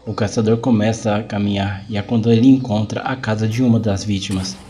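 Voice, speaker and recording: Low, mellow Brazilian male narrator. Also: steady tone, brisk, soft background music. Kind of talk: scripted horror story narration.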